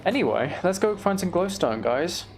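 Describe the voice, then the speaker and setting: Casting: male game streamer, creaky voice, rambling and mock-exasperated, faint background noise. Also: sits high, very animated, normal speed. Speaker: game streamer hosting a live chat stream. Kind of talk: casual gaming commentary and chat.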